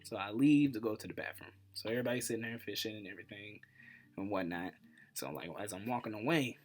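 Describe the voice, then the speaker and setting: A man telling a personal story casually as a vlog host, in an informal, animated way with self-deprecating humor. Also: lowish voice, fast talker, soft background music. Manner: animated retelling, acts out dialogue. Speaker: vlogger, channel host.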